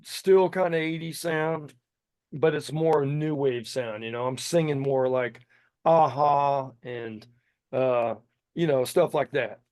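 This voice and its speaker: A man's voice: mid-range voice, slow, darkish tone, clear, resonant tone, no echo, studio quality. A man in his fifties, a singer and podcast guest, chatting casually and enthusiastically with lots of restarts. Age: 50s. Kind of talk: casual chat.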